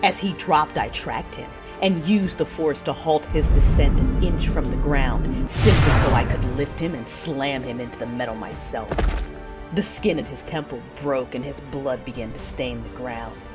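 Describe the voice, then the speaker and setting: A woman playing a betrayed, commanding dark-side warrior in an audio drama, narrating in the first person with intense, deliberate menace. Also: rumbling low, loud music behind the voice. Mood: furious.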